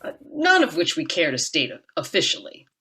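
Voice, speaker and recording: Radio play role: cautious young family doctor, official manner, animated intonation acted. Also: mid-range voice, normal speed, slightly rough, exaggerated voice. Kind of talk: radio drama acting.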